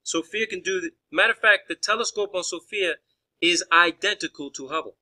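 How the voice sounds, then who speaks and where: A man's voice: high voice, brisk, bright, forceful tone, poor audio. A man arguing in a phone conversation as a caller, pressing his points insistently and often restarting his phrases. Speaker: caller questioning a space agency official.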